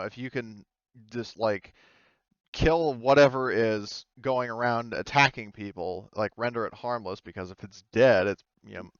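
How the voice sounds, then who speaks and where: Hesitant man with a smooth, lowish voice. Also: fairly bright tone, no room sound, lo-fi sound. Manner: hesitant, rambling. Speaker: game master. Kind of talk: casual improvised tabletop game narration.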